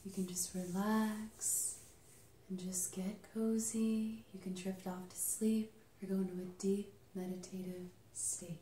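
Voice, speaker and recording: A young adult woman leading an ASMR energy healing session, soft-spoken and soothing, sometimes dropping into a whisper. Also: mid-range voice, level tone, unhurried. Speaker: Reiki healer and channel host. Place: small dim indoor treatment room. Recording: roomy, slightly noisy home recording.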